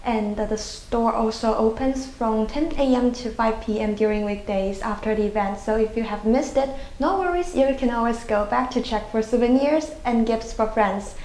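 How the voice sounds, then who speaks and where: A woman's voice: fairly high pitch, moderate pace, few pauses, darkish tone, poor audio. A young woman working as a student TV reporter, delivering campus news in narration and stand-ups. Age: young adult.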